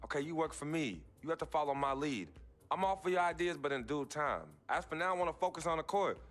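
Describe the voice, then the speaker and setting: A man's voice: fairly high pitch, expressive, quick pace, fairly bright tone. An American man acting a driven young basketball star in a video game cutscene, speaking in a firm, street-casual way. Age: young adult.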